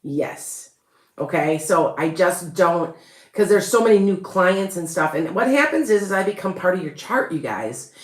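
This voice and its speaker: A woman's voice: fairly low pitch, animated, normal speed, echoey room. A woman with a Chicago accent, hosting a rambling, chatty live stream as a psychic reader and laughing often. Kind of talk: casual live-stream chat.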